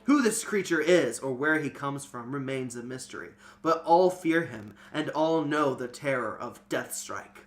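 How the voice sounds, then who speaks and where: A man's voice: mid-range voice, animated, unhurried, few pauses. A man reading a story aloud as host, with dramatic emphasis, swelling and then trailing off. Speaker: host and reader. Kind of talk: expressive read-aloud storytelling.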